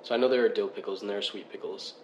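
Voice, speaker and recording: A man's voice: lowish voice, steady tone, rapid-fire, muffled recording. A man co-hosting a casual chat, asking curious questions and laughing easily. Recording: echoey room with faint noise.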